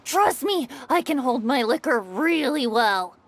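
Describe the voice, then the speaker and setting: A woman's voice: high voice, very animated, unhurried, a little hiss or hum, exaggerated voice. A woman voicing a carefree, playful young male bard in a game, with a breezy, wheedling way of talking.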